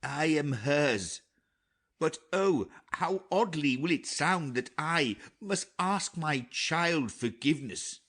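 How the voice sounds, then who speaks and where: An earnest old king in mourning as acted by a man, speaking in a raised, highly animated voice. He declaims slowly and ceremoniously, in the manner of a Shakespeare audiobook. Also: full character voice. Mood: awed, remorseful. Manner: theatrical, declamatory. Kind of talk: dramatic Shakespeare audiobook reading.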